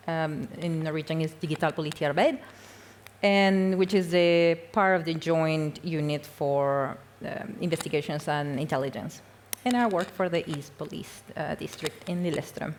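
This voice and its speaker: A woman's voice: on the low side, slight background noise. A young woman from Peru, giving a casual, self-deprecating conference talk full of fillers and small laughs. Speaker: conference speaker. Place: conference hall.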